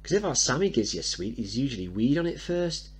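A man plays a working-class little boy in a dramatic play reading. He is boastful, suspicious and blunt, full of slang. The voice is pushed up high and swings about, with a husky edge. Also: big pitch swings, fast. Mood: cheeky, boastful. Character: scruffy seven-year-old working-class boy, cheeky, boastful, suspicious.

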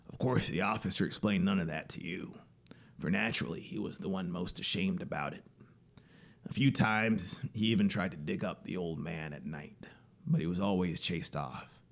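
A man voicing a secretive soldier in a story, confiding in a hushed half-whisper. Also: sits low, creaky, voice pushed down. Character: secretive male soldier confiding a grim tale.

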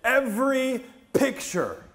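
A middle-aged American man preaching a conversational sermon as a pastor, telling the story in an animated way and putting questions to his congregation. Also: extremely high pitch, takes it slowly. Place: large church stage, indoors.

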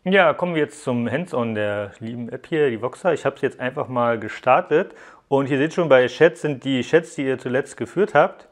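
A man presents a hands-on tech review in a casual, explanatory way. His voice sits at an average pitch for a man. He speaks straight to the viewer, guiding them through features as a reviewer would. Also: expressive, moderate pace. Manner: explanatory, addressing viewers directly. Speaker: app reviewer, host.

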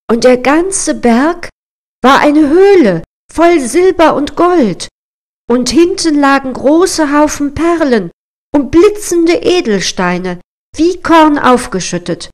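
A woman storyteller narrating a scripted fairy tale in a measured, expressive storybook manner. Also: sits high, soft background music.

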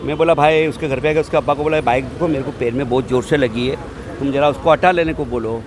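A man's voice: mid-range voice, fast, a crowd in the background. An older man, a shopkeeper, defending himself to a reporter on a city street at night, talking in a heated, rambling way into a handheld mic. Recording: noisy street.

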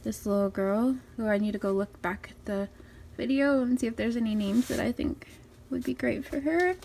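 Young woman, raspy gravelly voice, slightly high, chatty vlog, handheld phone in a small bedroom, faint background noise. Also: moderate pace, darkish tone. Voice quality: raspy from just waking up. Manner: chatty, upbeat, many ums and likes. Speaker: vlogger. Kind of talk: casual room tour vlog. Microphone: handheld phone camera.

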